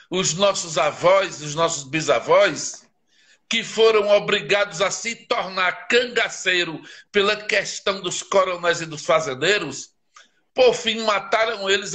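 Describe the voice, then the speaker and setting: A man's voice: high-pitched, sharp tone. A man from Northeastern Brazil with a Northeastern Brazilian accent, a guest writer speaking with passion and emphasis in a live interview, asking rhetorical questions. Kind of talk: live interview over a video stream.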